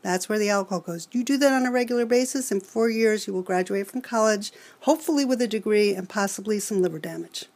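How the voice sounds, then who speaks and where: Brief: fifties woman, New York accent, counselor type, earnest and lecturing, middling pitch. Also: fast talker, few pauses, a little mellow. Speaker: college alcohol counselor. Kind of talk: expert interview answers.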